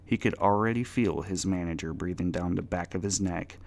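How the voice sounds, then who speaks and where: A male narrator reads a story aloud as a dramatic audiobook. His voice is low and creaky, with noticeable fry on the words. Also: normal speed, a little mellow. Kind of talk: dramatic reading of scripted fiction.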